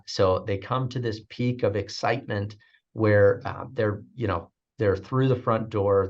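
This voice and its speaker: A deep-voiced man hosts a business webinar, speaking sincerely with lots of pauses and restarts. The audio is slightly dull, as if from a video call. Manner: hesitant, many fillers and restarts, sincere. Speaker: webinar host. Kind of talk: webinar talk.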